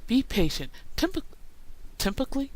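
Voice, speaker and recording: A woman's voice: high-pitched, big pitch swings, moderate pace, darkish tone. Woman streamer on a noisy capture. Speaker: game streamer. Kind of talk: casual gameplay commentary.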